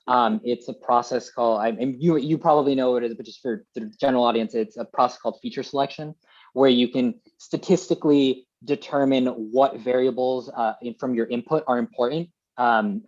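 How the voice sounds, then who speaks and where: A man's voice: mid-range voice, a little mellow, muffled recording. A young man from Colombia, a Fulbright scholar, explaining his research in a casual question-and-answer session, with many ums and restarts. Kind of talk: casual academic Q&A.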